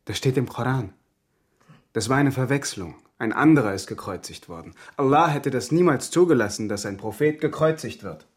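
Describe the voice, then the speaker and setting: Husky, earnest refugee man. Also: mid-range voice, expressive, moderate pace. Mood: solemn conviction. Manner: terse, solemn, matter-of-fact. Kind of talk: radio drama acting.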